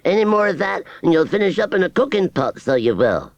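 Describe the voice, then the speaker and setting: A bumbling, soft-hearted scarecrow, put on by a male storyteller, speaking in a lively, raised country drawl full of ums and stumbles. The sound is dull and lo-fi. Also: sits high, brisk, no echo, voice pushed up. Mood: grumbling. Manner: broad rural yokel drawl with hesitant ums. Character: dim rustic male scarecrow, humble and fond.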